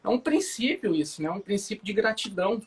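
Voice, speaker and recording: A man, hosting a morning Bible live stream, relaxed and chatty, often restarting sentences. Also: sits high, brisk. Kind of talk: casual chat, prayer and Bible commentary. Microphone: phone or webcam for a live stream.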